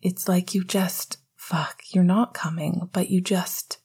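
A woman whispering the lines of an eager young male hockey player, breathless and cursing, in a husky voice. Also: high voice, level tone, takes it slowly, darkish tone, exaggerated voice. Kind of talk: whispered audiobook reading.

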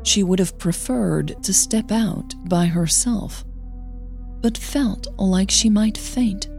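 A woman's voice: medium pitch, slow, vocal fry, a little mellow, faint music behind. A woman reading scripted narration for an audio fiction story.

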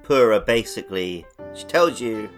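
A man's voice: on the low side, lively intonation, normal speed, soft background music. A man hosting a podcast, talking casually and conversationally about video games. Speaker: podcast host. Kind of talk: casual video game chat.

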